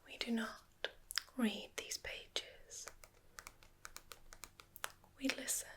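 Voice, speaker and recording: A woman voicing a knowing, teasing forest witch healer in a soft ASMR roleplay whisper, coaxing with murmured questions. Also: very slow.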